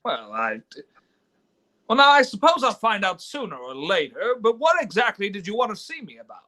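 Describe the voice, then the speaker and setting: A man's voice: sits high, big pitch swings, normal speed, sharp tone, exaggerated voice. A man acting as an earnest, hesitant young small-town man in a radio play. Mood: polite, wary.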